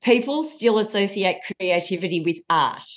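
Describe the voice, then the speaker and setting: A woman, a coach telling stories in a podcast interview with a loud, lively voice. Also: medium pitch, moderate pace. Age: 40s. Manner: lively storyteller. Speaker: coach and trainer.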